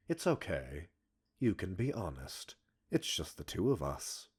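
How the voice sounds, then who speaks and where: A man's voice: sits low, heavily acted. A man playing a smug, arrogant male professor in an ASMR roleplay, erudite and teasing, flirtatious and condescending as he talks like a scientist. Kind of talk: ASMR roleplay audio drama.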